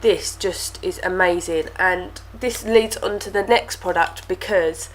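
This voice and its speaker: A woman's voice: on the low side, a little slow, slight background noise, rough recording. Chatty young woman vlogger, stuffy-nosed, rambling product talk. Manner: chatty, with lots of fillers and repeated words. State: stuffy nose from a cold. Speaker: beauty vlogger. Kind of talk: casual product review to camera.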